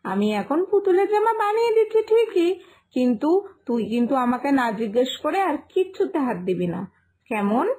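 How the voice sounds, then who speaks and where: A woman playing a poor, loving village mother in an animated moral story, tender and lamenting as she gently scolds. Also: high voice, a little fast. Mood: gently firm. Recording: music behind the voice.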